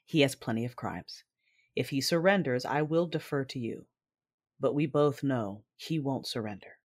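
An actress playing an intense, coolly confident Sith warrior woman, narrating a sci-fi audio drama in a husky, measured voice over music. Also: extremely low pitch, darkish tone. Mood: brooding, wry. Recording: music under the voice.

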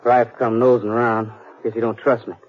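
An American actor playing a sullen, defensive young cowhand and kid brother in a 1950s radio western, in surly, clipped rustic speech. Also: male voice, medium pitch, little pitch movement, brisk, natural voice. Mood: sullen, defiant. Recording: muffled 1950s radio broadcast, faint music.

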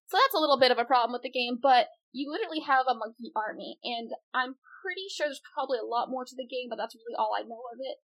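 A woman's voice: high voice, lively intonation, fast talker, hardly any pauses, a bit bright, rough recording. A woman co-hosting a casual gaming podcast, chatty and rambling, laughing often as she explains. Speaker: podcast co-host. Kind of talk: casual chat.

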